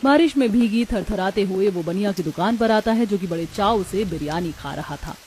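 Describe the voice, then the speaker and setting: A woman's voice: medium pitch, lively intonation, moderate pace, darkish tone, slight background noise. A woman giving scripted, dramatic storytelling narration for a moral tale.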